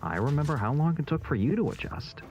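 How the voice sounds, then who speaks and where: A man playing a calm, consoling character in a cartoon fan dub, reassuring, on a dull recording. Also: fairly high pitch, quick pace, gravelly. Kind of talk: animated series fan dub. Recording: dull lo-fi audio with faint noise.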